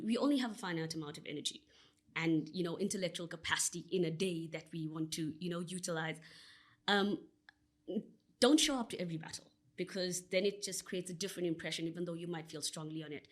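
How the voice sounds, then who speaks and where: A South African woman with a somewhat low-pitched voice speaks as a podcast guest. She talks in an earnest, expansive way, stringing thoughts together with frequent "you know" fillers. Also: a bit bright. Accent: South African English. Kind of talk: conversational interview answer.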